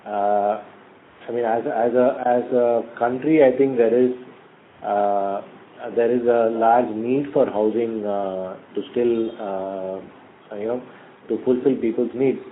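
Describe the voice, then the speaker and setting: A middle-aged executive answers questions slowly and carefully, filling pauses with many ums. His voice comes through a narrow, tinny phone line. A faint hiss sits underneath. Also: medium pitch, fairly even intonation. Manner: measured, hesitant with many fillers. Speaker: company executive. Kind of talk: earnings call answers.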